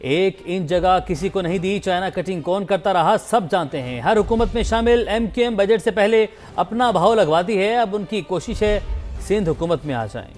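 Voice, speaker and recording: A man's voice: high-pitched, animated, normal speed, darkish tone. A man with a Pakistani accent anchoring a TV bulletin, reading scripted news with emphatic headline delivery. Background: news music bed.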